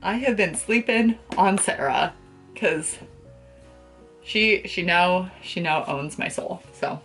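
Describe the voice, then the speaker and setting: Casting: young woman, low-voiced, casual book vlogger, gushing and playful with slangy delivery, bedroom setting. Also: expressive, takes it slowly, fairly bright tone, faint music behind. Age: young adult. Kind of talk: casual chat to camera about books. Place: small bedroom, indoors.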